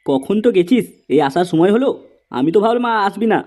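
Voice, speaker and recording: A man's voice: sits high, animated, fast talker, noisy background. A man voicing an eager, chummy drinking buddy in a comedy cartoon. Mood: nagging.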